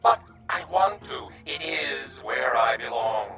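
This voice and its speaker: A man playing a gentle, noble insect-like alien in a radio drama, speaking formally and earnestly in a breathy half-whisper. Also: medium pitch, expressive. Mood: solemn, resolved. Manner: formal, measured, stilted speech without contractions. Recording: echoing voice with music behind.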